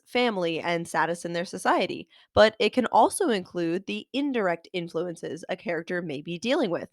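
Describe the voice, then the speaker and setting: A woman hosting a podcast lesson on fiction writing, her voice nasal and a little congested from a cold. She explains in a clear, measured, scripted way at a middle pitch. The recording is close and dry, with no room sound. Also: no echo. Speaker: podcast host and author.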